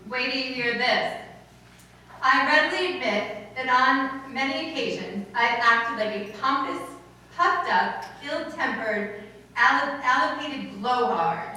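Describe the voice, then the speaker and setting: An actress playing a wry, wisecracking high school teacher with dry, theatrical radio comedy delivery. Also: female voice, measured pace, bright, forceful tone. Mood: mock formal. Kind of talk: old-time radio comedy acting. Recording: echoey room from far off, faint noise.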